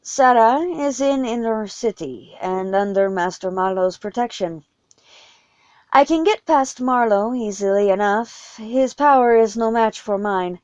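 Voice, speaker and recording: A woman voicing a commanding, deliberate male vampire leader in a fantasy story, with measured formal speech. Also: a little high, a little mellow, smooth, dry recording, muffled recording, natural voice. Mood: grave, resolute.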